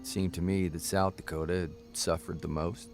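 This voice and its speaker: Male columnist for audio drama: low-pitched, gravelly, stubborn, wry and easily riled. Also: level tone, music in the background. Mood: subdued, reflective. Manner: halting, irritable, sardonic. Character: weary, defensive male newspaper columnist, stubborn, wisecracking. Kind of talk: naturalistic audio drama acting.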